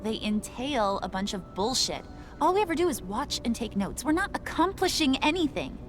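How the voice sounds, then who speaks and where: A woman's voice: on the high side, very animated, normal speed, noisy background. A woman playing an impatient, rebellious teenage daughter with a sullen, complaining manner in a game cutscene. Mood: sulky, frustrated. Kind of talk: dramatic game cutscene acting. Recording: music behind the dialogue.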